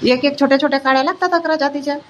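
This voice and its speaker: A woman playing an outspoken, practical village sister-in-law in an animated family comedy. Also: sits high, racing, dull audio, a little hiss or hum, lightly acted. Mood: explaining.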